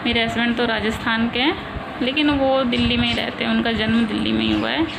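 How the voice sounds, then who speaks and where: A woman's voice: a little high, little pitch movement, fast, vocal fry. A woman in her thirties, from a village in India, chatting casually and rambling on in a home vlog. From rural India. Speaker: vlogger. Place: home in the city. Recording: loud background noise, traffic.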